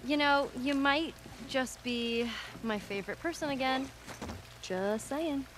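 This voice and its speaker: A woman's voice: high-pitched, takes it slowly, a bit bright, a little hiss or hum. A woman acting a teenage girl in a video game, American, blunt and sarcastic yet tender-hearted, talking naturalistically. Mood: playful.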